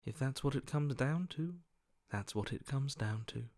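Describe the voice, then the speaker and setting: A man's voice: mid-range voice, animated, normal speed, a little mellow, no echo, studio quality, natural voice. A man voicing a cold, amoral voice inside someone's head in a video game, detached, matter-of-fact and dryly sardonic. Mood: detached, philosophical. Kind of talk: video game character acting.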